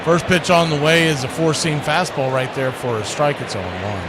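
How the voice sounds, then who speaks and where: A man doing excitable play-by-play baseball commentary, folksy and emphatic, mixed with casual banter. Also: fairly high pitch, moderate pace, very noisy.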